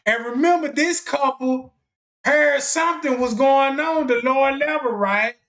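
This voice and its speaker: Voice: high-pitched, a little slow, a bit bright. Casual stream host in an echoey room. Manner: casual, pointing things out to viewers. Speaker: livestream host. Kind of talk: casual commentary over video footage.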